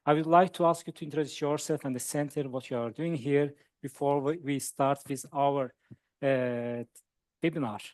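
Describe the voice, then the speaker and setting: A man moderating a panel discussion, speaking in halting phrases with short thank-yous. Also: medium pitch, steady tone, a little mellow, no echo. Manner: halting, repeats words, short acknowledgments. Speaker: webinar moderator.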